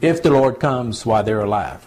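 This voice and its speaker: A man, a pastor teaching scripture to a small home church group in a relaxed, repetitive, conversational way. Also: mid-range voice, normal speed. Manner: conversational, repeats points, addresses group as y'all. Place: small home gathering, recorded to CD.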